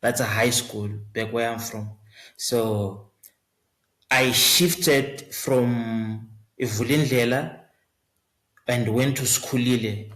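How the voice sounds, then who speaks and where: A man's voice: mid-range voice, steady tone, slow, a bit bright. A man, answering interview questions in a hesitant way with many fillers and restarts. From Zimbabwe. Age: 20s. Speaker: guest singer. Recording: roomy echo.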